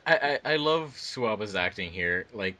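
A man's voice: fairly low pitch, animated, normal speed, pushed and bright. A man chatting as an editor on a casual commentary track, often repeating words as he starts.

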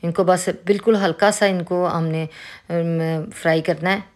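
A woman with a Pakistani Urdu accent, calmly explaining a recipe step by step as a cooking host. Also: on the low side, measured pace. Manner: calm, instructive, with religious greetings. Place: home kitchen.

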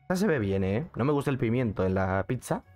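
A man's voice: medium pitch, sing-song, fast talker, a little mellow, faint music behind. A young man with a Mexican accent, streaming a game and chatting in a casual, jokey way while answering his chat. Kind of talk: casual gaming commentary.

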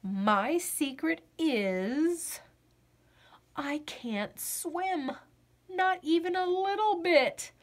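A little boy frog played by a woman confides in the listener in a high, very slow storybook voice. He is anxious and earnest, hesitating often, with a lively swing in pitch. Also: full character voice. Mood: worried, confiding. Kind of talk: children's picture-book read-aloud.

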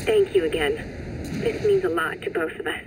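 A woman's voice: very high-pitched, huge pitch swings, moderate pace, gravelly, very noisy, exaggerated voice. An actress playing a woman on a call to a superhero in a video game. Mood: sincere.

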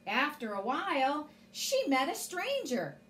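A woman's voice: high voice, wildly swooping pitch, measured pace, fairly bright tone, a little hiss or hum. A woman in her forties reading a children's story expressively as a storyteller, indoors.

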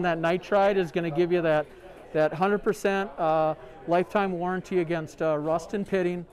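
A middle-aged man, a sales rep, showing products in a large store, talking in a halting way full of ums and uhs. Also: sits high, darkish tone. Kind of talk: product demo. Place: large sporting goods store, indoors.

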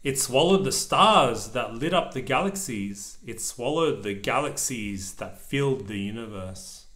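A man reading a children's picture book aloud to a small child, pausing to explain things in simple words. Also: mid-range voice, expressive, fairly bright tone. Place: indoors at a table over the open book.

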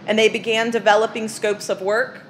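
A woman mayor chairing a village council meeting, moving briskly through the agenda in a casual way with many fillers. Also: fairly high pitch, flat delivery, slight background noise.

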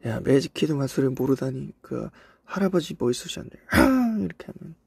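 A young Korean man from South Korea with traces of a Cheongju accent, chatting casually on a livestream and reminiscing in a relaxed way with soft asides. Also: mid-range voice, a little fast, gravelly, husky, dry recording. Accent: Cheongju dialect traces in Korean. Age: young adult. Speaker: idol livestream host. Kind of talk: casual livestream chat reading comments. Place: indoors, voice-only stream.

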